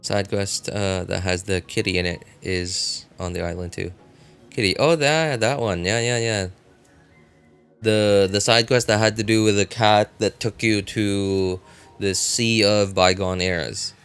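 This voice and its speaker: A man with a fairly low voice talks casually on a game livestream. He thinks aloud, stopping and starting, and circles back to repeat what he has said. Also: steady tone, unhurried, fairly bright tone, smooth, soft background music.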